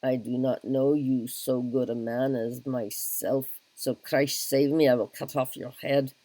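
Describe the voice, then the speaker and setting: A man's voice: medium pitch, flat delivery, measured pace, warm tone, dry recording, lightly acted, low-quality recording. A man voicing a hot-tempered, boastful Irish army captain who blusters and swears oaths in a solo reading of a stage play. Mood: furious.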